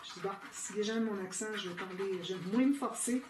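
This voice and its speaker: Québécois woman hosting a craft tutorial, chatty, recorded far off in an echoey room with loud noise behind. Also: medium pitch, quick pace. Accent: Québécois French. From Quebec, Canada. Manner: chatty, thinks aloud with many "euh" fillers and small laughs. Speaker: scrapbooking tutorial host. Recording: roomy, off-mic, with loud background noise.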